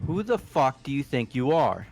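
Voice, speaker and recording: Man acting a loud, hot-headed young thug. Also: medium pitch, sing-song, normal speed, faint music behind, poor audio. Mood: furious. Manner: aggressive, loud, confrontational. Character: hot-headed, foul-mouthed, aggressive young male thug. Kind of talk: amateur voiced video game playthrough.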